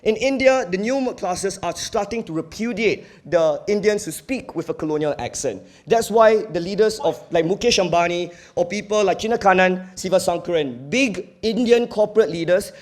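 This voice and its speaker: A man's voice: sits high, lively intonation, moderate pace, few pauses. A young man with a Singaporean accent giving a competitive debate speech in an earnest, emphatic, argumentative manner. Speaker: university debater.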